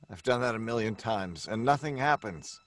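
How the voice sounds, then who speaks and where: A man's voice: on the low side, animated, normal speed, no room sound, close to an everyday voice. Man acting a hesitant, weary radio-mystery novelist, close and dry. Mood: doubtful, wary. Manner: hesitant, stammering, self-deprecating. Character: nervous, weary, eccentric male mystery novelist.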